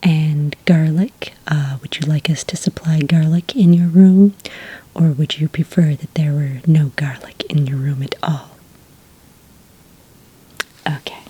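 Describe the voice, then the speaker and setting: A woman playing a friendly, obliging witch desk clerk in a soft-spoken ASMR roleplay, whispering breathily in polite, hesitant customer-service patter. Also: low voice, steady tone, unhurried, slightly rough, full character voice, poor audio. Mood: calmly helpful.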